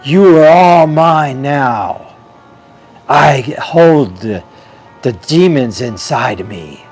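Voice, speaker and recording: A man's voice: medium pitch, lively intonation, very slow, a little mellow, faint music behind, pitched above the performer's own voice. A man voicing a demon-possessed male officer grown into a giant, taunting and menacing. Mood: gloating menace.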